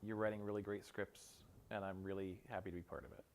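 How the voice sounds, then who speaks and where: A man's voice: deep voice, fairly even intonation, quick pace, vocal fry, a little hiss or hum. A man hosting a show in a casual, conversational style. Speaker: show host. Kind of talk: casual talk show chat.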